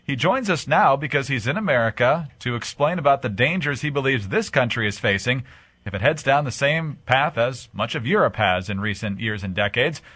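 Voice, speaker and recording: A man hosting a radio interview, reading smooth introductions and putting questions to his guest. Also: medium pitch, expressive, normal speed, bright, forceful tone, no room sound. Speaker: radio talk show host.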